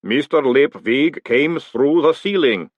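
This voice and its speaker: A man voicing a patient, literal-minded golem servant in an audiobook, speaking slowly, formally and politely. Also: medium pitch, expressive, dry recording, muffled recording, pitched above the performer's own voice. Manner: slow, deliberate, formal delivery. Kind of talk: audiobook character voice.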